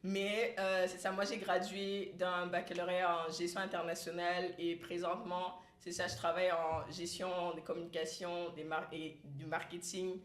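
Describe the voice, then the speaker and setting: A woman's voice: fairly low pitch, little pitch movement, normal speed, few pauses. A young woman from Cameroon hosting a casual podcast chat, laughing often and mixing English phrases into her French. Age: young adult. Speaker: podcast host. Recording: echoey room.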